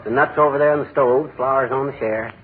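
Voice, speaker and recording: A man's voice: mid-range voice, steady tone, brisk, slightly rough, lightly acted. An actor playing a blustering, stubborn husband in a 1940s radio sitcom, arguing his point and chuckling. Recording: tinny old radio broadcast with loud hiss.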